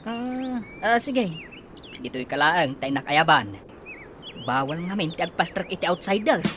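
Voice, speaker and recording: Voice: mid-range voice, expressive, unhurried, heavy background noise, lightly acted. A performer playing a stern male school official who questions a visitor, speaking in a radio drama.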